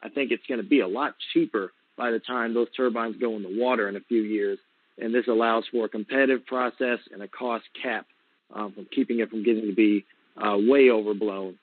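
A man's voice: on the low side, fairly even intonation, fairly quick, no echo. A man giving an unscripted, conversational explanation as an advocate on a conference call over a telephone. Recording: tinny, narrowband phone line.

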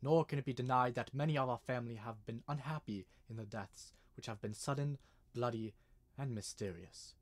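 A man playing a grave gentleman in a radio drama, measured and solemn. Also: mid-range voice, natural voice. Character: grave gentleman recounting a family legend to a detective.

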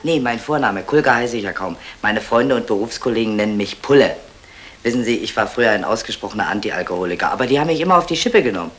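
An actor playing a cocky, boozy small-time crook in a vintage German radio comedy, flippant and mock-courteous. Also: male voice, on the low side, animated, quick pace, a bit bright. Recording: noisy old 1960 radio recording.

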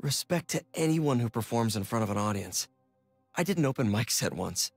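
An actor playing an earnest, self-deprecating young superhero in a video game, casual and wry. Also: male voice, mid-range voice, animated, brisk, gravelly, faint music behind. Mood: amused. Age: young adult.

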